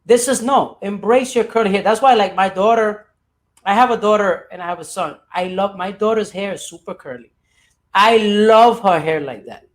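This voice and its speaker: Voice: mid-range voice, moderate pace. A podcast host in conversation, affirming the guest and tagging points with "right?". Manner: warmly affirming, frequent "right?" tags. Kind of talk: conversational interview.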